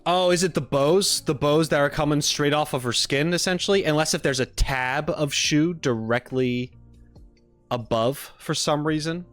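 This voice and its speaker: A man's voice: a little high, moderate pace, a bit bright, soft background music. A man chatting casually as a streamer, rambling through his opinions in a tentative, hedging way. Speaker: streamer reacting with live chat. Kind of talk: casual rambling livestream chat.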